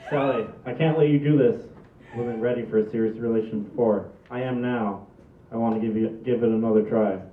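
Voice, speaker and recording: A man's voice: medium pitch, fairly even intonation, a little fast, close to an everyday voice. A man reading the part of an earnest, protective father in a comedy script at a table read. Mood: earnest, pleading. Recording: distant, echoey, phone-like noisy live recording.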